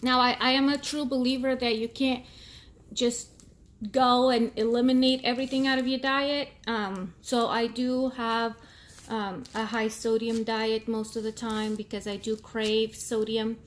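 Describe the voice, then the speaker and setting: A woman in her thirties whose first language is Portuguese, speaking English with an accent in a casual vlog, pausing often with ums as she searches for words. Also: fairly high pitch, flat delivery. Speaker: vlogger. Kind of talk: casual talking-to-camera vlog.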